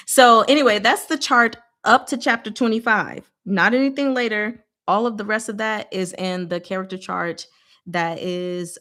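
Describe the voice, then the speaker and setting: A woman hosting a book discussion livestream, chatting casually with viewers in an animated, informal, playfully exasperated way. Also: medium pitch. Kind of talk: casual live chat with viewers.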